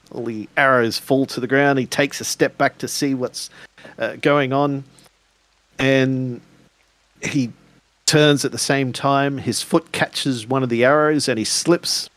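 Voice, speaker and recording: A man improvising action narration as a player in a tabletop roleplaying game, in halting, pieced-together phrases. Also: mid-range voice, a bit bright, no echo.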